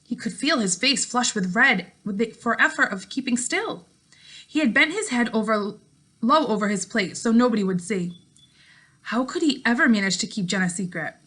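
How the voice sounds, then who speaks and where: A woman teacher reading a story aloud to her class in an expressive way, with casual remarks. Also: a little high, moderate pace.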